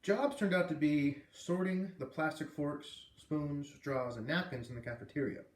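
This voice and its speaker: A man narrating a children's novel aloud in an expressive storybook style, as a read-aloud reader. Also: on the high side, normal speed. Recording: echoey room, distant microphone.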